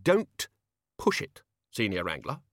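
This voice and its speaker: A man voicing a blustering older male wizard who heads a university, speaking in a bluff, drawn-out way. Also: lowish voice, huge pitch swings, a bit bright, no echo, studio quality, heavily acted. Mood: gruff.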